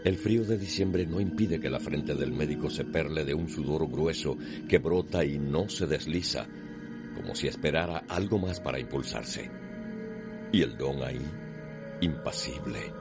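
A man's voice: extremely low pitch, moderate pace. A man with a Cuban accent giving solemn, literary, dramatic narration for a radio play. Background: music.

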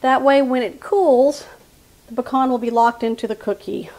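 A woman's voice: a little high, moderate pace, darkish tone. A woman, giving friendly step-by-step baking instructions in her home kitchen. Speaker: cooking channel host. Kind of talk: casual cooking demonstration.

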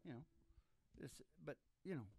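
A man's voice: a little high, moderate pace, dark tone, slightly rough, faint music behind. A middle-aged or older man hosting a podcast, chatting in a casual, rambling way with blunt, salty, folksy talk into a close stand microphone. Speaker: singing DJ and podcast host. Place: small curtained music studio, indoors. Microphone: stand microphone close to the mouth.

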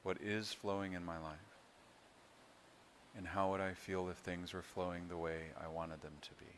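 A man leading a guided meditation as a yoga instructor, speaking in a calm, soothing, unhurried way with long pauses. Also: deep voice, a little hiss or hum.

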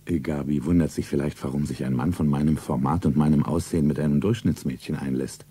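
A wealthy, secretive lover in a seventies German radio play. His voice is low, very dark and husky. He speaks in a deliberate, solemn way, as if narrating his own romance. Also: fairly even intonation. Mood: detached narrating. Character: Markus, rich secretive suave lover of a shopgirl. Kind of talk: stylised 1970s German radio play acting.

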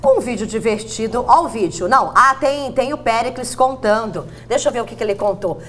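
A woman's voice: on the high side, expressive, fairly quick, a bit bright, soft background music. A middle-aged woman with a Brazilian accent, a TV gossip host chatting casually at a studio desk. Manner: chatty, exclaims "que bacana".

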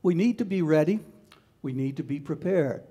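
An older man preaching a sermon as pastor in a large church sanctuary, in a measured way with repeated phrases, on a headset mic. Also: on the high side, animated, warm tone, breathy edge, muffled recording.

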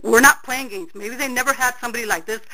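A middle-aged woman on a phone call who talks loudly and insistently, repeating herself again and again. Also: on the high side, fast. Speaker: caller.

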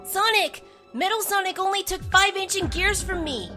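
A woman plays a brainy little boy fox in a comic dub. The voice is extremely high, earnest and explanatory. Music is clearly heard behind it. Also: normal speed, pushed and bright. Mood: alarmed.

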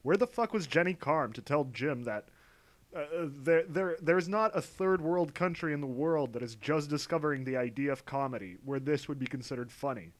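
A man's voice: on the high side, expressive, moderate pace. A man giving mocking reaction commentary as a video commentator, exasperated, sweary and stammering.

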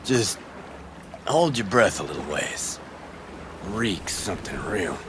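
A man's voice: low-pitched, very animated, slow pace, background noise, heavily acted. A man playing a brash, hedonistic middle-aged rock star in a video game, swaggering, playful and profane. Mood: wry, intimate.

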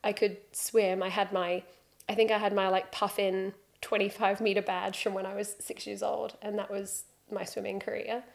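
A woman's voice: medium pitch, flat delivery, moderate pace, creaky. A British woman in her thirties, a podcast guest chatting on a studio sofa and telling her story with self-mocking humor, close to a desk mic. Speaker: podcast guest, freediving instructor. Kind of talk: relaxed interview chat, storytelling. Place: studio sofa with plain wall. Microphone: headphones and desk microphone.

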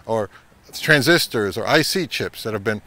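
A middle-aged man hosting an advice vlog, speaking to camera in an emphatic way with deliberate pauses. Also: on the low side, expressive, bright, forceful tone. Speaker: host of an audio gear channel. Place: home listening room, later an open grassy field.

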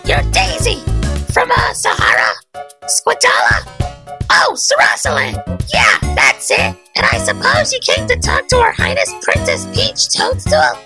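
A woman's voice: very high voice, wildly swooping pitch, a little slow, exaggerated voice. A woman voicing a small, flippant, officious toad castle guard in a cartoon comic dub, with exaggerated delivery. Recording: music behind the voice.

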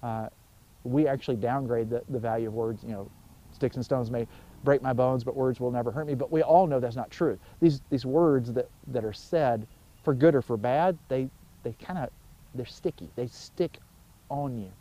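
A man in his forties, a pastor giving an informal, conversational sermon outdoors, telling stories with emphatic pauses. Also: mid-range voice, dark tone, muffled recording. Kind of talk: informal sermon, explaining scripture. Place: outdoors by a wooded pond.

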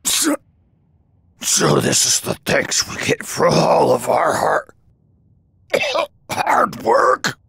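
An actor playing a badly wounded alien warrior in an anime game, straining raspily to speak in broken, gasping phrases. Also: male voice, fairly high pitch, wildly swooping pitch, very slow, long pauses, a bit bright, no echo, heavily acted. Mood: anguished disbelief. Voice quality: raspy, strained. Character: badly wounded, loyal male alien warrior, near death. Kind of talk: dramatic dubbed anime game acting. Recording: music under the voice. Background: music.

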